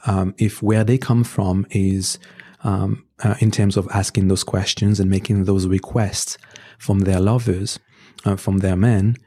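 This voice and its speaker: A man with a French accent, whose first language is French, earnestly giving relationship advice as a guest in a conversational interview. He hesitates often, restarting phrases and filling pauses with "um" as he works through his points. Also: deep voice, little pitch movement, dark tone. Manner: earnest and advising, with frequent fillers and restarts. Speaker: podcast guest.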